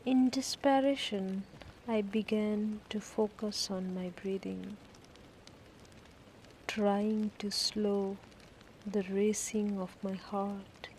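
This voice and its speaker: A woman narrates a scripted sleep meditation at a medium pitch. Her delivery is extremely slow and deliberate, broken into short phrases with long pauses. Soft rain ambience sits faintly behind her voice. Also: fairly even intonation, slight background noise, low-quality recording. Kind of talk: guided sleep meditation, first-person scripted story. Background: falling rain.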